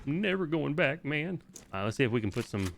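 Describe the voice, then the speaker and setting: A man's voice: a little high, very animated, brisk, gravelly. A man hosting a video game guide, giving casual, informal walkthrough commentary with the odd swear word.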